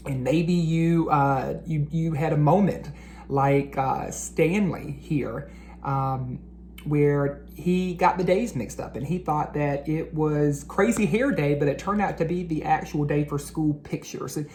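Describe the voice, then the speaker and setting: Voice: medium pitch, a little slow, a little mellow, a little hiss or hum. A read-aloud host narrating storybooks and talking to children in an encouraging, teacherly way.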